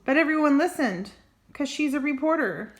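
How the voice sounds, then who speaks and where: A woman's voice: high-pitched, expressive, moderate pace. An older woman reading a children's story aloud as a grandmother, expressively, with chatty asides and exclamations. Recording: roomy, echoing home recording.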